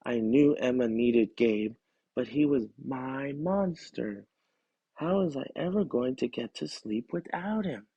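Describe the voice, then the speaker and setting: A man reading a children's story aloud in an expressive storytelling style. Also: mid-range voice, takes it slowly, slightly rough, no room sound, highs cut off. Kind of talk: expressive storybook narration, first person.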